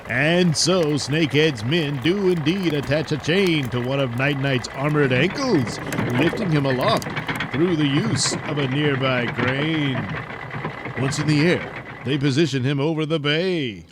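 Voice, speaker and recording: A man's voice: fairly high pitch, measured pace. A man narrating a scripted dramatic serial as storyteller, with light noise in the recording.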